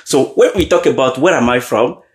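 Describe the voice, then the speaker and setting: A man giving a motivational leadership talk, often pausing to check in with his audience and repeating key questions. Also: mid-range voice. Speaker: leadership coach. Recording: roomy echo.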